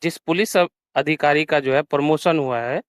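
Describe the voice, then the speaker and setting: Man, crisp clean voice, higher register, dry sound, political interviewer. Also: normal speed, dry recording. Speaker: news interviewer.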